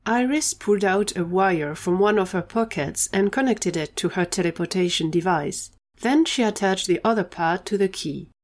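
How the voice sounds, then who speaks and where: A woman's voice: medium pitch, normal speed, few pauses, a little mellow. A woman reading scripted narration for an audio drama, telling the story between scenes.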